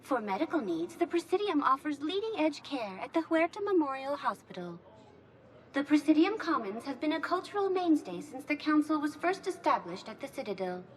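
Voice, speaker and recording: A woman's voice: high-pitched, sing-song, brisk. A woman playing a courteous synthetic information assistant in a video game, speaking in scripted, official announcements. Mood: courteous, informative.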